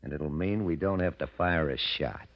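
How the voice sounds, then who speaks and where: An actor in 1940s radio style playing a calculating, ambitious gangster boss, cool, boastful and menacing. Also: male voice, extremely low pitch, normal speed. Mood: smug scheming. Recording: muffled old radio drama recording.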